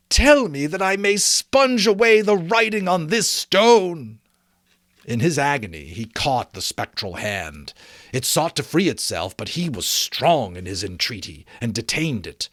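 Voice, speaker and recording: Casting: male storyteller, unhurried pace, lively intonation, dramatic audiobook reading in a dry, close sound. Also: mid-range voice, dry recording. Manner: theatrical, expressive. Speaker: audiobook reader and host. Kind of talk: dramatic storybook narration with casual asides.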